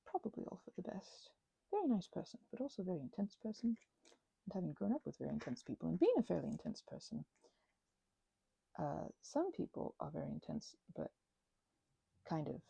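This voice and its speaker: Breathy woman rambling softly with gravelly creak. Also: fairly low pitch, big pitch swings, dry recording. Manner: halting, self-interrupting, reflective. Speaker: craft vlogger and ASMR creator. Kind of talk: rambling, intimate casual chat while crafting.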